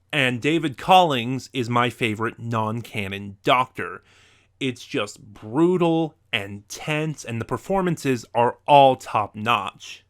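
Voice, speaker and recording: Male video reviewer, mid-pitched, talking viewers through semi-scripted commentary. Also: a bit bright.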